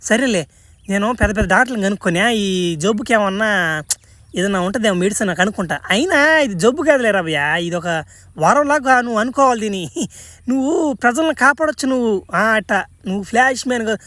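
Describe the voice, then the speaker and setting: A woman playing a bemused cartoon doctor who talks quickly. He is chatty and exasperated, exclaiming in disbelief as he advises, in a comic parody style. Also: on the high side, a bit bright, dry recording, natural voice. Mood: alarmed, advising. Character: exasperated, bemused male doctor with twenty years' experience. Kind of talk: comic cartoon dubbing in Telugu.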